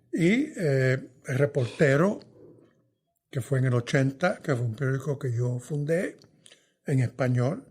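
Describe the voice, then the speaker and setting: An older man, a veteran journalist, answering interview questions in a thoughtful, hesitant way that often trails off softly. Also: a little high, breathy edge. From New York.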